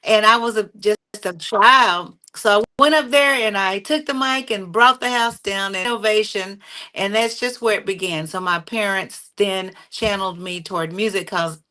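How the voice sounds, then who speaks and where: A woman's voice: mid-range voice, moderate pace. A middle-aged woman, a singer, talking casually with many fillers as she tells stories of her career in an interview. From North Carolina. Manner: animated, warm storytelling with frequent fillers. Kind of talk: conversational storytelling.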